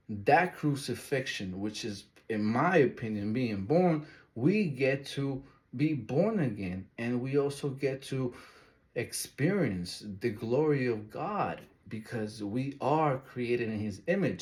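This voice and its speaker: A man from Ecuador giving casual, emphatic reaction commentary, repeating his key words and using loose street slang. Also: medium pitch. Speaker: video reactor.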